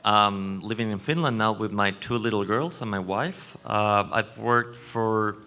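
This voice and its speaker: Conference speaker, male, Chilean Spanish accent, bright forceful voice, explanatory and halting delivery. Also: on the low side. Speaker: panel speaker. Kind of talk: conference presentation. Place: conference venue stage.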